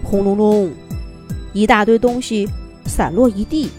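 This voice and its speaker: A woman's voice: medium pitch, a little slow, darkish tone, faint music behind. A woman narrating a children's fairy tale expressively from a script, as a storyteller.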